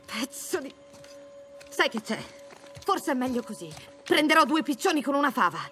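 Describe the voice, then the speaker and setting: A woman's voice: high-pitched, big pitch swings, moderate pace, a bit bright, soft background music. A woman playing a tough, distrustful mercenary in dramatic video game dialogue, terse and blunt. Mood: angry, bitter.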